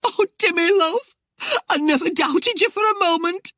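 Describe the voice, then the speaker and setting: A woman's voice: extremely high pitch, very slow, sharp tone, no echo, clean studio sound, pitched above the performer's own voice, full character voice. A woman voicing a hysterical, terrified village wife, blubbering and shrieking in rustic dialect. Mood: blubbering terror.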